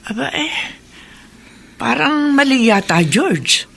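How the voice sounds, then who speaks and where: A woman's voice: high-pitched, sing-song, takes it slowly, gravelly, fairly bright tone, slightly rough, full character voice. A woman playing a chatty, concerned older neighbour in a radio drama, folksy and exclaiming. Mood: disapproving concern. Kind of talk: Filipino radio drama acting.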